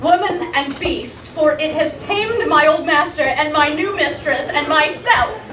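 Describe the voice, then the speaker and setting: A woman's voice: sits high, measured pace. A woman playing a cheeky, grumbling male servant on an amateur stage, often breaking into laughter. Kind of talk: amateur stage acting of verse. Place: stage before a live audience. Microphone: distant room mic. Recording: echoey, distant, noisy hall recording.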